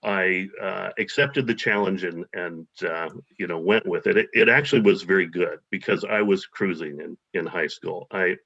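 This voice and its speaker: A man's voice: low-pitched, moderate pace, creaky, few pauses, bright, forceful tone. A man being interviewed, talking hesitantly with many fillers and restarts. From United States. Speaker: guest. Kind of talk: casual interview. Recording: dull lo-fi audio.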